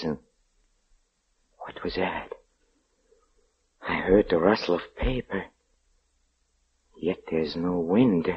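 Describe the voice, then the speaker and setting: A man's voice: fairly low pitch, husky, full character voice. An actor playing a fearful, obsessed man who stammers and repeats words in a 1940s radio horror drama. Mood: hushed dread, then frightened suspense. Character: fearful, obsessed haunted man, unravelling into mania. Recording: muffled old radio recording with background noise.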